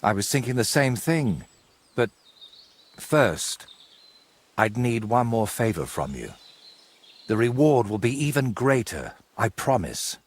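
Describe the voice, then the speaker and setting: An actor playing a wry, courteous, middle-aged medieval painter in a video game, with a husky voice and a wordy, theatrical way of talking. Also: male voice, mid-range voice, big pitch swings, takes it slowly, long pauses. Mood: earnest. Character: wry, wordy ageing medieval painter, robbed but polite. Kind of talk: game cutscene dialogue.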